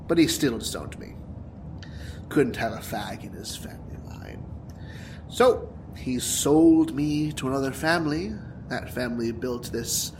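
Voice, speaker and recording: A man playing a bitter, theatrical young villain. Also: medium pitch. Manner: taunting, dramatic, profane, breaking into whispers and laughter. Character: bitter, wounded, theatrical young male supervillain with mind-control powers. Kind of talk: audio drama acting. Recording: clear background noise and ambience.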